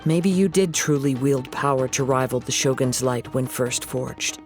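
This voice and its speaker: A man voicing a calm young wandering samurai in a video game, composed and principled, speaking in a measured, formal, poetic way with a soft, light voice. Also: mid-range voice, faint music behind, lightly acted. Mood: grave, composed.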